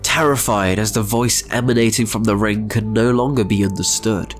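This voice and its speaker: A man's voice: fairly low pitch, fairly even intonation, moderate pace. A man narrating a scripted, dramatic story as its narrator. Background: music.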